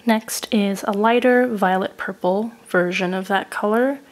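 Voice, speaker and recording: A woman narrates a makeup review while still getting over a cold. She works through each shade in a steady, methodical way. Her tone is descriptive and even. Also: medium pitch, measured pace. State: recovering from a cold. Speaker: beauty reviewer.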